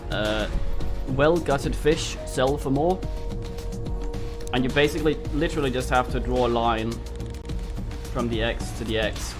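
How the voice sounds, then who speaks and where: A man with a slight sniffle, casually thinking aloud while streaming a game from home. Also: mid-range voice. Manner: thinking aloud, rambling and musing. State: a little sniffly from a cold. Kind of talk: casual live gameplay commentary. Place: at home at his computer. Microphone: desk microphone. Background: game music.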